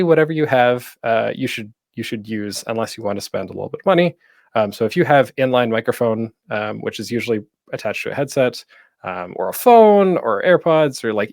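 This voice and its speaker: Male panel host, very animated pitch swings, informal presenter style with self-deprecating asides. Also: vocal fry, recorded from a distance.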